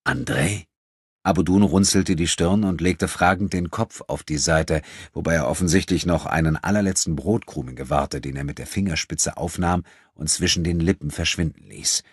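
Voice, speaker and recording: A man's voice: low-pitched, moderate pace, husky, no room sound, studio quality. A man reading a novel as a scripted, dramatic audiobook narration.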